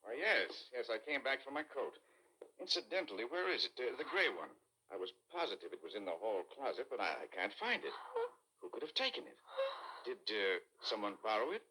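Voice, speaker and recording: A fussy, patronizing husband in old radio drama. His voice is very sharp yet husky, rising and falling in lively, solicitous chiding. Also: medium pitch, brisk, full character voice, rough recording. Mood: fussing, puzzled. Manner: solicitous, mildly exasperated, patronizing. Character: mild, fussy husband gently fretting over his wife. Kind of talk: vintage radio drama acting.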